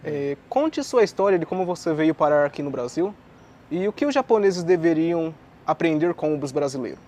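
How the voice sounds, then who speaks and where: A man with a somewhat high, mellow voice reads out viewer questions. His turns are short and plain, with a steady, easy tone. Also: moderate pace. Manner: brief, reads questions aloud. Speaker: co-host reading viewer questions.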